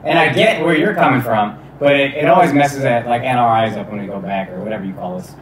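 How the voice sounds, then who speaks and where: A man guesting on a podcast as a comedian, talking in a relaxed, casual way, swearing now and then and laughing often. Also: mid-range voice, fast talker, roomy sound, distant mic, poor audio. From United States.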